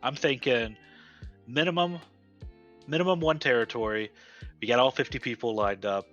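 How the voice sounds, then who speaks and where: A man with a bright, forceful voice comes through slightly muffled, lo-fi audio. He chats casually as a panelist putting questions, halting and doubling back on words, with faint music underneath. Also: mid-range voice. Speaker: gaming show panelist asking questions. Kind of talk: casual chat.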